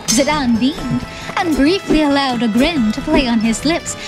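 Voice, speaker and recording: A woman's voice: sits high, measured pace. A woman reading scripted, dramatic story narration as the narrator of an audiobook. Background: background music.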